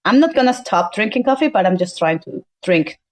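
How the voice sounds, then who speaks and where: A woman's voice: medium pitch, very animated, brisk. A woman from Venezuela, a native Spanish speaker with a Venezuelan accent, chatting casually as a podcast guest. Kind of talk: casual chat.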